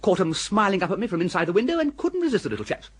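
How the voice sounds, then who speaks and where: An actor playing a flustered, foppish young English gentleman in a radio comedy, plummy, stammering and bumbling, with an upper-class accent. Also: high voice, big pitch swings, very fast, slightly rough, heavily acted. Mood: defiant. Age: young adult. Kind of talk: radio comedy drama acting.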